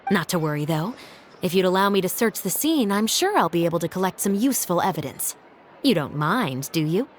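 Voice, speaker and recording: A woman voicing a composed, dutiful female officer in a video game, speaking in a dry, formal, measured way. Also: lowish voice, sing-song, a little hiss or hum. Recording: music behind the voice.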